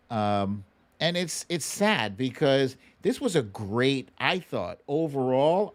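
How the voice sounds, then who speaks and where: Animated, casual political commentator. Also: mid-range voice. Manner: conversational, swearing; acts out quotes animatedly. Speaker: show host.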